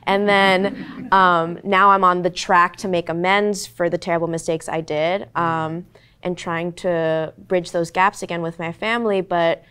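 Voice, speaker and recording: A woman's voice: fairly low pitch, steady tone, a little slow, fairly bright tone, clean tone. A young woman actor in her twenties, whose first language was Korean, talking earnestly and reflectively on a panel with many "like"s and "um"s. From United States. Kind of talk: candid panel talk.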